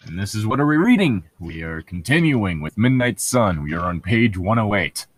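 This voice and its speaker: A man with a fairly low voice and very lively, swinging intonation co-hosts a casual book read-along. He reads bits aloud and mocks them sarcastically, swearing freely. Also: normal speed. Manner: sarcastic, profane. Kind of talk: casual mocking chat with read-aloud passages.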